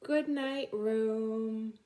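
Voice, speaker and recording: A woman's voice: a little high, drawn-out words, mellow. A middle-aged woman reading a picture book aloud to children, talking simply and directly to her young listeners. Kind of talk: read-aloud storytime for children.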